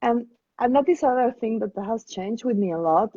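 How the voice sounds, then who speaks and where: A woman from Mexico with a Mexican accent, a guest in a casual conversation, pausing to search for her words. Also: fairly high pitch, animated, dark tone, dry recording, dull audio. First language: Spanish. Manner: thoughtful, searching for words with fillers.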